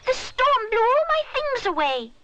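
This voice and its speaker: A woman's voice: extremely high pitch, very animated, measured pace, heavy background noise, pitched above the performer's own voice, heavily acted. Woman doing a flustered little pig boy. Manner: distracted, flustered.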